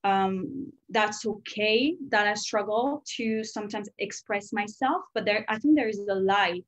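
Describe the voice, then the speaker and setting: A woman's voice: medium pitch, measured pace. Reflective woman with a French accent. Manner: reflective, candid, soft-spoken. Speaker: former student. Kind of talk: personal story on a webinar. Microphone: video call.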